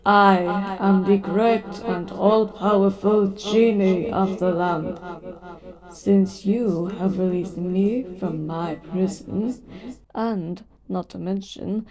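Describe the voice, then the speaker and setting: A woman's voice: mid-range voice, low-quality recording. A woman voicing an ancient genie of the lamp in a roleplay audio, weary yet playful, moving between grand servitude and casual sass. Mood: grand, ceremonial. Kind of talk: roleplay audio acting addressed to the listener.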